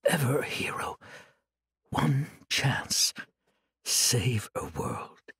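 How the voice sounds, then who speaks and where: A woman voicing a broken, exhausted captive monk who speaks in faint, halting whispers. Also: on the low side, very animated, gravelly, breathy edge, no echo, clean studio sound, voice pushed up, heavily acted. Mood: despairing.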